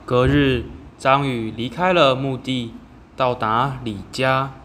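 A man's voice: mid-range voice, slow, a bit bright, slight background noise. A teenage male student reading scripted narration for a radio drama. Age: teens.

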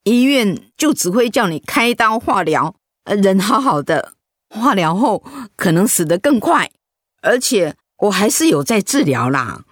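A woman's voice: mid-range voice, very animated, a little slow, no echo, clean studio sound. An actress playing a stubborn woman of eighty in a radio drama, plaintive and insistent. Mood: chatty, persuading. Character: stubborn eighty-year-old mother refusing treatment. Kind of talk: Mandarin radio drama acting.

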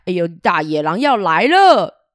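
A woman doing an excited little animal squad. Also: normal speed, no room sound, clean studio sound. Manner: high, bossy and excited.

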